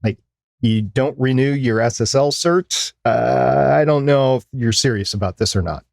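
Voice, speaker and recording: A man's voice: medium pitch, moderate pace, gravelly. A man with a mid-range voice talks as a podcast co-host. The sound is dry and free of room echo, and his style is casual discussion.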